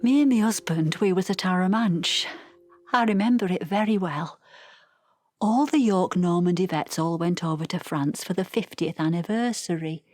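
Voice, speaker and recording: An actress playing an elderly veteran's wife with a Northern English accent, reminiscing in a plain, reflective audio-play monologue. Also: female voice, fairly low pitch, moderate pace, darkish tone, faint music behind, natural voice. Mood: fond reminiscence. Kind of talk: verbatim theatre monologue.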